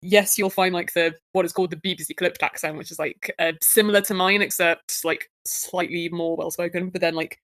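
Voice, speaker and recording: A woman's voice: lowish voice, fairly even intonation, fairly quick, hardly any pauses, fairly bright tone, dry recording. A young woman from England with a Southern English accent, chatting casually in a rambling way with many fillers. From England, Guildford. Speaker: player. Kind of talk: casual chat.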